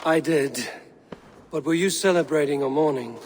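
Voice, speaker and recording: A man voicing a steady, loyal, guarded Viking warrior in a video game, speaking in a measured, serious way. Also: a little high, slight background noise, lightly acted. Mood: probing, serious. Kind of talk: cinematic video game acting.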